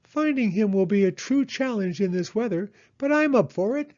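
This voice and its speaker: High, hooting storybook owl. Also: male voice, animated, darkish tone, dull audio. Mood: intrigued. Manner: hooting, exclamatory, drawn-out oohs. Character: eager, self-assured male owl, children's storybook helper.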